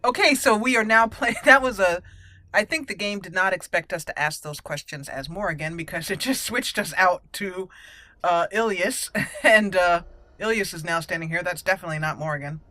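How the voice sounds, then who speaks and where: A woman's voice: on the low side, normal speed, sharp tone. A woman hosting a roleplay let's play, chatty and playful, commenting and reading dialogue aloud. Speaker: gaming channel host.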